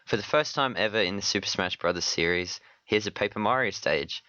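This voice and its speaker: Low-voiced man, sick and a bit hoarse. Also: fairly quick, sharp tone, no room sound, dull audio. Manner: hesitant, stammering restarts. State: sick, partly lost voice. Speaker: podcast co-host. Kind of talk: casual chat.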